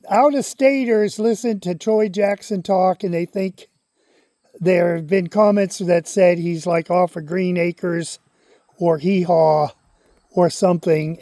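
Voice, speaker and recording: Rambling higher-voiced man. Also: warm tone, no room sound, clean studio sound. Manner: rambling, conversational, stops to read aloud. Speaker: channel host. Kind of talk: casual talk to camera, reading aloud.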